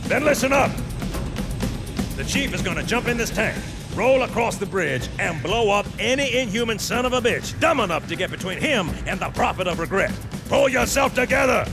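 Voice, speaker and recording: An actor playing a tough, fearless veteran male marine sergeant who barks orders in a brash, gravelly way. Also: high voice, lively intonation, moderate pace, over music. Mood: commanding. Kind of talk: video game cutscene acting. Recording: game soundtrack with loud score.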